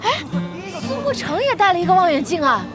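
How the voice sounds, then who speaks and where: A woman playing an excitable young female fan in a radio drama, girlish, bubbly and teasing. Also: extremely high pitch, fairly quick, music in the background. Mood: excited.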